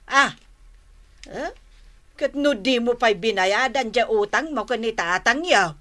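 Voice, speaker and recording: A woman's voice: a little high, animated, slow pace, a bit bright. A woman acting in a radio drama as a scheming, coaxing middle-aged wife and mother with an Ilocano accent. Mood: haggling.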